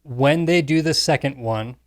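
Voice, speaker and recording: A man's voice: medium pitch, unhurried, no room sound, studio quality. A middle-aged man talking casually as a co-host on a panel podcast.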